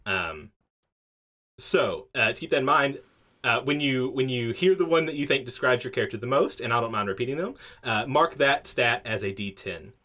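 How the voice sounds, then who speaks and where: A man acting as game master for a tabletop game, explaining the rules casually with lots of fillers and quick laughs. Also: medium pitch. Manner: lively, laughs easily, many fillers. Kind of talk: running a tabletop game session.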